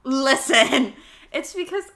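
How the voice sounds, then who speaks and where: A woman's voice: high voice, animated, slow, bright, forceful tone. A young woman from New Zealand with a Wellington accent sprinkled with American words, co-hosting a podcast with playful, insistent chat. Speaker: podcast co-host. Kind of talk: casual chat.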